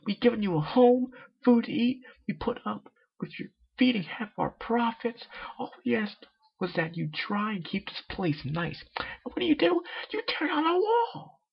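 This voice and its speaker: A man's voice: extremely high pitch, sing-song, normal speed, creaky, husky, voice pushed up, full character voice. A man voicing an exhausted, furious motherly pony baker, in a dull lo-fi home recording. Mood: furious, scolding.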